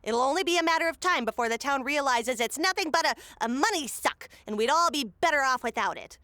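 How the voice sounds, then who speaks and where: A woman's voice: high-pitched, lively intonation, quick pace, bright, forceful tone, no room sound. A woman playing a scheming, self-serving mayor in a comedic audio drama, theatrical and dismissive. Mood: scornful.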